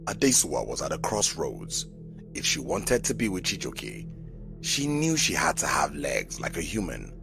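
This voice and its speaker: A man's voice: rumbling low, huge pitch swings, a little slow, creaky. A man narrating a scripted folk tale as a storyteller. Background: background music.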